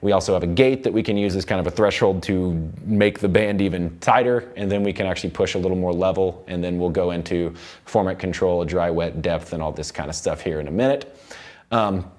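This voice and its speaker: A young man teaching music production in a studio, explaining software in an informal, rambling way. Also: deep voice, a little fast. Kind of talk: casual software tutorial. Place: indoor recording studio.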